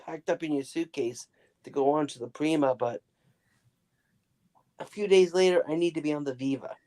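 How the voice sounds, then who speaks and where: A man's voice: on the high side, normal speed, darkish tone. A man chatting casually on a livestream, often stammering and repeating words as he gets going. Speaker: livestream co-host. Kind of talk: casual chat.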